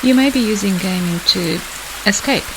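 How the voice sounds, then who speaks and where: A meditation guide delivering scripted hypnotic narration in a measured, deliberate way with pauses. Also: medium pitch. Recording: hissy, clearly noisy background.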